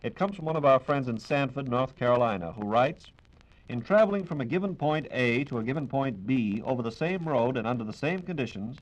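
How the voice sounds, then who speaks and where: A man's voice: medium pitch, highs cut off. An American man hosting a 1940s radio program in a formal, polished and courteous announcing style.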